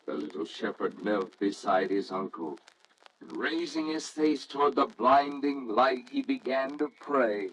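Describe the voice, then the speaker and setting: A man narrating a scripted story in a classic radio reading style, expressive, measured and reverent. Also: medium pitch, a little hiss or hum, poor audio.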